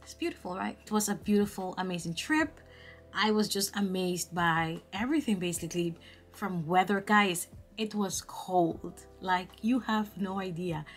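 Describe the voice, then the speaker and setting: A young woman from the Dominican Republic tells a travel story straight to her viewers in a casual, expressive way. She restarts her sentences often and leans on fillers. Soft music plays faintly behind her. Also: medium pitch, normal speed. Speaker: travel vlogger.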